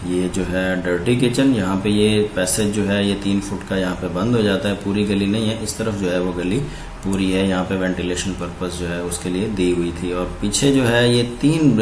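A man from Pakistan walking through floor plans in a steady, explanatory voice-over, pointing out rooms one by one. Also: lowish voice, a little hiss or hum. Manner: steady explanatory, repeating "ye dekhen" while pointing. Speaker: architect presenting house plans. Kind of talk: walkthrough narration of floor plans. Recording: roomy echo, faint ambience.

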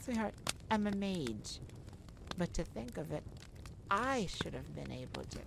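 A woman's voice: fairly low pitch, big pitch swings, measured pace, gravelly, background noise, full character voice. A woman playing a nervous, caring mage who speaks hesitantly and tenderly in an ASMR audio roleplay. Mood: concerned, gently teasing. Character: nervous, caring young mage rescuing a friend.

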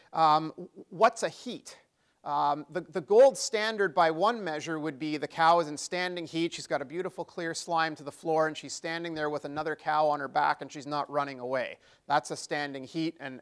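A man's voice: a little high, fairly bright tone, clean tone. A middle-aged man giving a technical seminar lecture with a handheld mic in an exhibition seminar room, explaining step by step with frequent fillers and restarts. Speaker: conference speaker. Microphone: handheld microphone.

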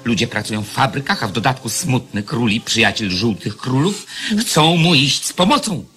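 A man's voice: medium pitch, lively intonation, moderate pace, pushed and bright, soft background music. An actor playing a haughty, impatient enemy king in a radio play, mocking and imperious. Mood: angry, demanding.

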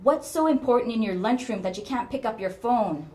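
Elderly mother, played by a woman, storybook: high, piercing, near-shouting, guilt-tripping and bitterly self-pitying. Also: expressive, a little fast, close to an everyday voice. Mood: angry scolding. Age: older. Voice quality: sharp, loud. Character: domineering, self-pitying, shrill elderly mother berating her daughter.

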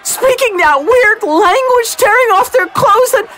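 A woman's voice: very high voice, lively intonation, measured pace, a bit bright, soft background music, full character voice. A woman playing a veteran war correspondent who is losing her composure during a field report in an audio drama. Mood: mounting hysteria. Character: veteran female war correspondent unraveling into madness.

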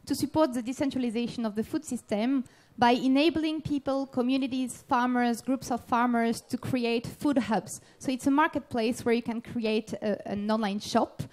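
A woman's voice: on the high side, normal speed, few pauses, a little mellow. A woman in her thirties, French by birth, speaking English on a handheld mic at a conference, hesitating and restarting phrases. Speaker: speaker and panelist. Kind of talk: conference talk. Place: large event tent with audience.

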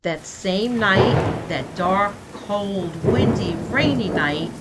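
Woman reading a storybook, slow and animated. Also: mid-range voice, heavy background noise. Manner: deliberate, dramatic pauses between phrases. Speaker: read-aloud host and storyteller. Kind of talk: expressive picture-book narration for children.

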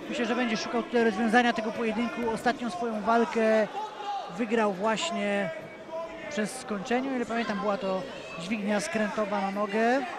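A man doing calm, measured live commentary on a cage fight, rising sharply at big moments. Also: sits high. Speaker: play-by-play commentator. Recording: loud arena crowd behind. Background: crowd.

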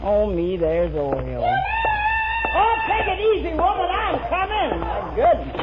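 A woman's voice: a little high, wildly swooping pitch, a little slow, over loud music, a loud crowd. A woman playing a sassy, jealous, good-humored housemaid in a 1950s radio comedy, with a folksy, wry delivery. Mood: worried, exasperated. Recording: muffled, noisy 1950 radio recording.

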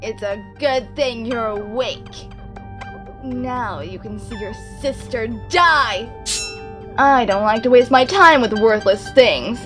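Woman as slow, teasing anime villainess. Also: fairly high pitch. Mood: cruel, dismissive. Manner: teasing, drawling, smug. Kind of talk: fan anime audio drama acting. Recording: music clearly behind the voice.

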